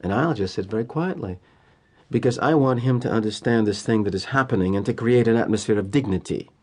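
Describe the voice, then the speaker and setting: An actor with an American accent playing a cynical, polished middle-aged corporate executive in an audio drama monologue, wry and deliberate with emphatic pauses. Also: male voice, fairly low pitch, darkish tone, natural voice. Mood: coolly reflective. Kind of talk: theatrical first-person dramatic monologue.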